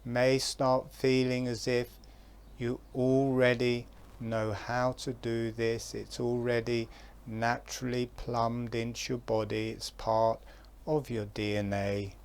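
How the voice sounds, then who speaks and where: A man giving guided relaxation instructions in a soft, calm voice, with long pauses between phrases. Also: medium pitch, gravelly, clean tone.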